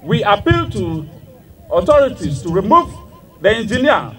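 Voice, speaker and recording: A man's voice: high voice, very animated, moderate pace, a bit bright, far from the mic. An older man with a Ghanaian accent reads a prepared statement as a spokesman at an outdoor press conference. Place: outdoors under canopy at a crowded gathering. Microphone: handheld microphone.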